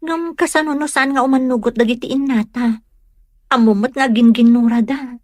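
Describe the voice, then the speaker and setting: Woman acting an earnest young village woman, highish voice. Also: fairly even intonation, moderate pace, darkish tone, no room sound, studio quality, close to an everyday voice. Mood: hesitant, tender. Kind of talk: Ilocano audio drama acting.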